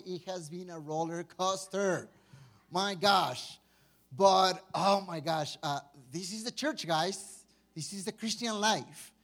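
High-voiced Mexican pastor, joking and informal. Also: male voice. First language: Spanish. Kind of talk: informal sermon.

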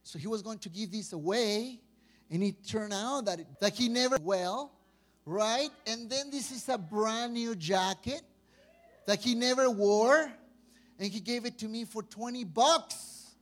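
A man's voice: sits high, measured pace. A man from Mexico with a Mexican accent and Spanish as his first language, preaching an informal, chatty sermon with jokes. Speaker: pastor.

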